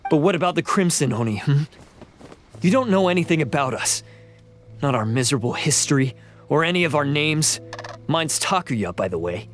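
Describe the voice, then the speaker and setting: A man's voice: on the high side, lively intonation, moderate pace, faint music behind, lightly acted. A man voicing a bitter, defiant young oni outcast turned thief in a video game, scornful and intense.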